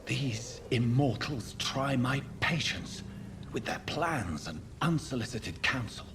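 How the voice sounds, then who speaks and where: An actor playing a brooding, guilt-ridden gentleman in a video game, speaking formally with grave, halting restraint. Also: medium pitch, very animated, very noisy. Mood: weary, irritated. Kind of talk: video game cinematic acting.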